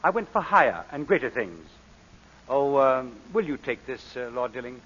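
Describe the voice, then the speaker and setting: A courtly, wry gentleman thief in a vintage radio drama. His speech is polished and theatrical with expressive pitch, heard through a dull recording with long reverb. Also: moderate pace, breathy edge. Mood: wry, philosophical. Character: suave, philosophical gentleman crook, wry and courtly. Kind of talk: 1940s radio acting.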